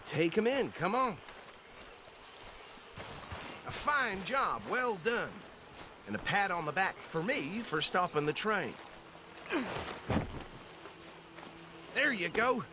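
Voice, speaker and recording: An animated, high-pitched Southern deputy. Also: male voice, a little slow, background noise. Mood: pleased, relieved. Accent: American South. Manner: talkative, folksy, politely formal. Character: chatty, bossy, eager small-town Southern deputy lawman. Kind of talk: video game voice acting. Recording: game music behind the voice.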